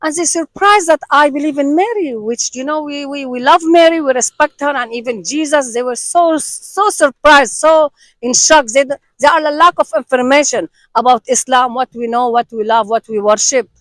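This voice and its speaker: A woman with a high, light voice answers interview questions earnestly. She repeats words while she searches for what to say. The recording sounds dry and close. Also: normal speed, fairly bright tone, no echo, poor audio.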